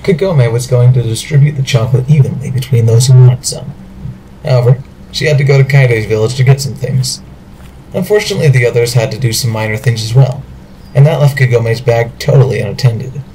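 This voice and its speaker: A man's voice: mid-range voice, a little fast, dark tone, slight background noise, poor audio. A man reading scripted narration for an amateur audio drama.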